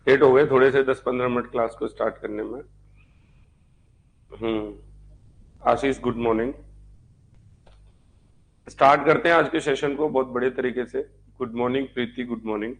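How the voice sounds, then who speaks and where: A man's voice: medium pitch, steady tone, moderate pace, long pauses, a little hiss or hum, poor audio. Male history teacher lecturing. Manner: conversational, repeats points and asks rhetorical questions. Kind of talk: live online exam-prep lecture.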